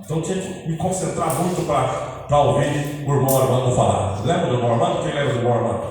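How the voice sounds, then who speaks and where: A man's voice: medium pitch, fast talker, a little mellow. A man with a Brazilian accent preaching a teaching sermon, often checking with listeners and restarting his sentences. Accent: Brazilian Portuguese. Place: large church hall. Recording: echoey church hall from far off, light noise.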